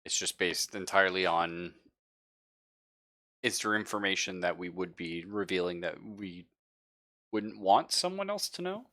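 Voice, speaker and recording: Low, bright-voiced man running a game. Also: expressive, a little slow, pauses often, no echo, clean studio sound. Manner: easygoing, encouraging. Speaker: game master. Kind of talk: casual live tabletop roleplaying session.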